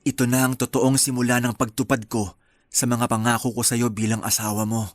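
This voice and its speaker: A man's voice: medium pitch, flat delivery, a little fast, music in the background, close to an everyday voice. An actor playing a devoted young husband and father in a radio drama, reassuring and eager. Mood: loving.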